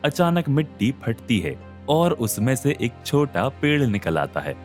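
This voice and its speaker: A man narrating a scripted animated moral story. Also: medium pitch, unhurried. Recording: music behind the voice.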